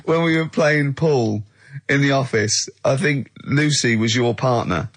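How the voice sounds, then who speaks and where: A man co-hosting a radio show in casual comic banter, excitable and giggly. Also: medium pitch, measured pace.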